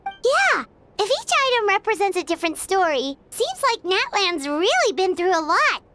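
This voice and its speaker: A woman voicing a tiny floating fairy-like companion, curious and excitable, who asks eager questions and calls herself by name, in a video game cutscene. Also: very high-pitched, moderate pace, heavily acted. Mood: curious, excited. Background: game music.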